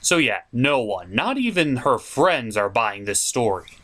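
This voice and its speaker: A man hosting a comedic cartoon episode review, sarcastic and swearing freely, jumping between shouts and mutters. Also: mid-range voice, sing-song, measured pace. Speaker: host.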